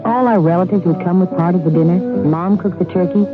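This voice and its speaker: A woman's voice: fairly low pitch, quick pace. A woman performing a scripted radio commercial as a nostalgic, conversational monologue. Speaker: commercial actor. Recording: muffled old radio with loud music.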